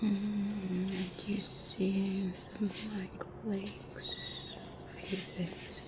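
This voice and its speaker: A woman playing a softly sinister, polite specialist doctor in an ASMR roleplay, hesitant and insinuating, in a breathy whisper with murmured hums. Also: mid-range voice, level tone, very slow, noisy background. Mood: softly attentive.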